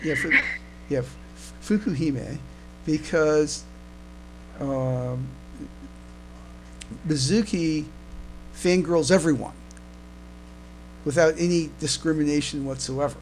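Man, slightly high voice, unhurried pace, stop-start phrasing, casual podcast chat, faint noisy hum behind. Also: a little mellow. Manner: halting, repeats and restarts words. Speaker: podcast co-host. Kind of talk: casual fan podcast discussion. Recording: clear background noise, mains hum.

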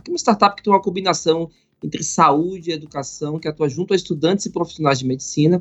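A man hosting a business podcast, reading a formal introduction and asking questions in a polished, measured way. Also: on the high side. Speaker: podcast host. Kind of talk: scripted introduction and interview questions. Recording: slightly muffled, faint background music.

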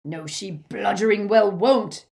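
A woman's voice: mid-range voice, lively intonation, unhurried, voice pushed up. A woman voicing a brutish, hot-tempered male wizard thug who talks in coarse dialect. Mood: ranting. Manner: loud, coarse dialect speech.

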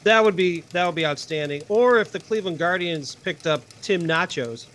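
A man's voice: sits high, normal speed, a bit bright, a little hiss or hum. A man co-hosting a podcast, chatting casually and asking interview questions. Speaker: podcast co-host.

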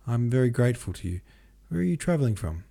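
Man reading as a timid, mellow-voiced young gentleman. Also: medium pitch, big pitch swings, fast talker, creaky. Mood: politely uneasy. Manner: polite, hesitant.

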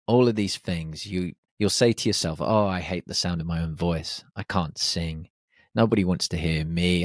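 A man hosting his own podcast, talking alone in a lively, animated way with plenty of exclamations. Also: low voice, no room sound, clean studio sound. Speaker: podcast host. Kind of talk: solo podcast monologue. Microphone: podcast microphone.

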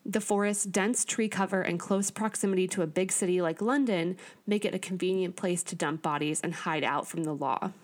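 A woman's voice: on the low side, steady tone, normal speed. A woman co-hosting a podcast, reading through researched notes in a casual, earnest way with small stumbles and asides. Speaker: podcast co-host.

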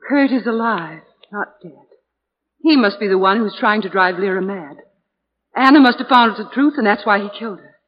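A woman's voice: mid-range voice, animated, normal speed, close to an everyday voice. An actress playing an anxious female companion to a sleuth, in breathless, questioning 1940s radio style. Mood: urgent. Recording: dull, noisy 1940s radio recording.

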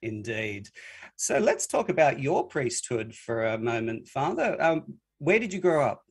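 A man's voice: medium pitch, big pitch swings, unhurried, gravelly, husky. A man hosting a faith podcast, asking questions in a conversational way with a few hesitations. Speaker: podcast host. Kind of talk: podcast interview.

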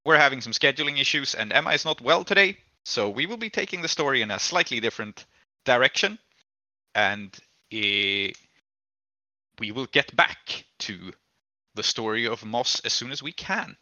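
A man's voice: mid-range voice, animated, moderate pace, sharp tone, dry recording, muffled recording. A man hosting a tabletop roleplaying podcast as game master, recapping the story casually with hesitant, trailing asides.

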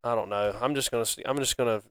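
A man's voice: medium pitch, racing, a bit bright, clear, resonant tone, no room sound. A man co-hosting a sports podcast, sounding glum and defeated about his team but laughing it off in a casual chat. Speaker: podcast co-host.

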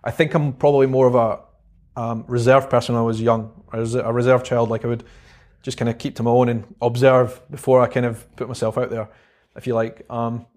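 A young man with a Scottish Edinburgh accent, a guest in an interview, talking about his past in a relaxed, halting way with many pauses and restarts. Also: mid-range voice. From Scotland. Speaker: guest, vlogger. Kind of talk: casual interview chat.

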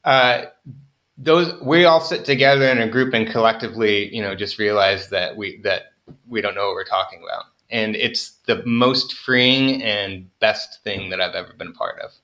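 Bright-voiced young man, rambling and joking. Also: fairly low pitch, normal speed, rough recording. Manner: rambling, self-deprecating, many fillers. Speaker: podcast guest, farmer. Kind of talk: casual interview chat.

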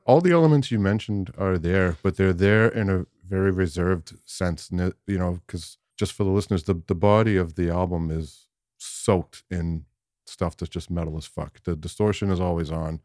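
A man's voice: low-pitched, moderate pace, darkish tone, dry recording, clean studio sound. A man in his late thirties co-hosting a casual music podcast, rambling and self-deprecating with lots of fillers. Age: 30s. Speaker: podcast co-host. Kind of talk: casual chat.

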